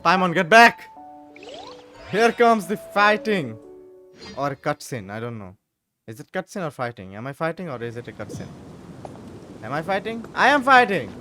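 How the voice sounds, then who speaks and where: A man's voice: fairly high pitch, very animated, moderate pace, long pauses, bright, forceful tone, faint music behind. A man doing casual, exclamatory gameplay commentary, repeating himself and talking back to the characters. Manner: exclamatory, repetitive, talks to the game characters. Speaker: gaming streamer.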